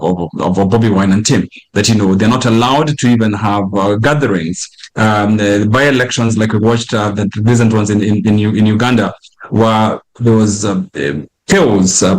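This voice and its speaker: A man from Kenya speaks as a political guest on a news show, forceful and insistent, stumbling over restarts as he builds his points. His voice sits fairly low. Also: low-quality recording. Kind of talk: news interview.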